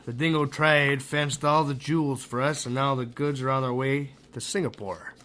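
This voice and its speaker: A man's voice: medium pitch, steady tone, moderate pace, a bit bright, lightly acted. An actor in a radio mystery playing a cautious, deferential criminal henchman. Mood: reporting. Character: cautious male henchman, deferential and nervous about risk. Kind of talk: radio mystery drama acting.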